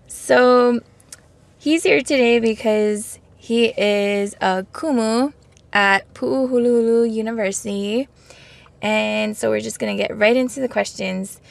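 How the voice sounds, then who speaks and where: A woman's voice: on the high side, a little slow, creaky, no echo. A woman from Hawaii hosting an interview and asking conversational questions. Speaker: host. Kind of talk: casual interview.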